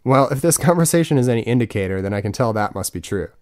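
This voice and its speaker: A man's voice: mid-range voice, expressive, fast, a little mellow, no echo, studio quality, close to an everyday voice. A man in his twenties playing a cynical, exasperated young atheist in an audiobook dialogue, casual and sarcastic.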